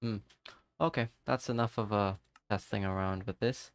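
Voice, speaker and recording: A man's voice: on the low side, fairly even intonation, normal speed, smooth, no room sound. A man streaming a game live, chatting casually with viewers and talking to himself as he plays. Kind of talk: casual live-stream chat while gaming.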